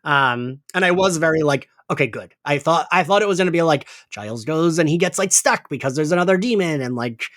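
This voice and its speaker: A man's voice: on the high side, expressive, a little fast, few pauses, a bit bright, no room sound, clean studio sound. A man co-hosting a casual fan podcast, enthusiastic and rambling, swearing freely. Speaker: podcast co-host.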